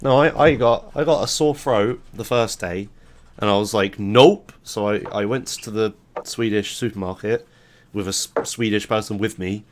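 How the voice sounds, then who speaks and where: A man hosting a podcast, talking loosely in a casual chat with his voice hoarse from a sore throat. He rambles, swears casually and laughs easily. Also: lowish voice, moderate pace, slight background noise. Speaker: podcast host.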